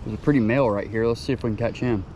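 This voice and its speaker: An excitable young man narrates his own fishing trip beside a lake, chattering to the fish as he fights them. His voice is mellow and warm, caught on a chest-mounted camera outdoors with a faint hiss of wind and ambience behind him. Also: lowish voice, racing, highs cut off. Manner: excitable, talks to the fish while reeling. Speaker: fishing vlogger. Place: outdoors by a lakeside. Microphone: chest-mounted action camera.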